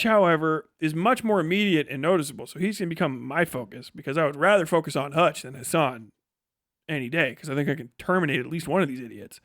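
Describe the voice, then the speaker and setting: Man, slightly high voice, live streamer, casual political rant, scornful, sweary, emphatic, dry close sound. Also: no echo. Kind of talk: casual ranting political commentary to a live audience.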